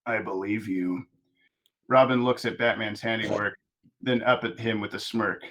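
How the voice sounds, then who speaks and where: A man reading stage directions aloud as narrator at a table read of an animated script. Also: lowish voice, normal speed.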